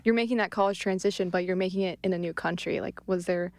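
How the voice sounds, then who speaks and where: A young woman co-hosting a student podcast, relaxed and a bit hesitant, with frequent murmured agreement. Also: fairly low pitch, fast, dry recording. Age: young adult. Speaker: podcast co-host. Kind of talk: casual chat.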